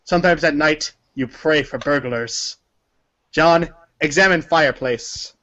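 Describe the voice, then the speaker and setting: A man with a fairly high voice narrates a story aloud. His delivery is dramatic and emphatic, like staged read-along theater. The recording sounds slightly dull and lo-fi. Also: a little slow, sharp tone. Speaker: narrator of a group reading.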